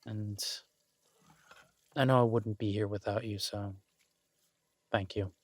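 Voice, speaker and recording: A man playing an exasperated but affectionate restaurant chef in an intimate boyfriend audio roleplay, talking conversationally with halting, stammered restarts. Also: fairly low pitch, steady tone, a little mellow, dry recording. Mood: tender, sincere. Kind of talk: intimate boyfriend roleplay, one-sided casual dialogue.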